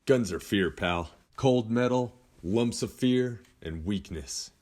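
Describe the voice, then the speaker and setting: A streetwise, gruff police sergeant, played by a man in a superhero audio drama. His voice sits fairly low, and he is blunt but folksy, coaxing like a negotiator. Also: slow pace, a little mellow. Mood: earnest, intense.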